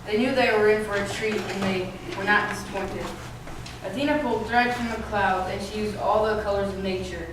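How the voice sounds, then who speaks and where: Female narrator, scripted storytelling, mid-pitched; distant mic with clear background noise. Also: fairly quick. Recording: echoey room, distant mic, noisy.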